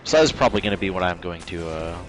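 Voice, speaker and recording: A man livestreaming a video game with casual, halting commentary, thinking out loud as he plays. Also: sits low, expressive, faint music behind.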